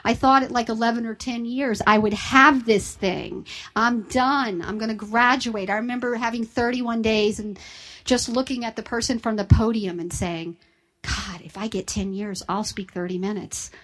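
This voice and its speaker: A woman's voice: fairly high pitch, fairly even intonation, normal speed, fairly bright tone, rough recording. A woman, sharing her personal recovery story with an audience in a candid, self-deprecating way. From Southern United States. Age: 50s.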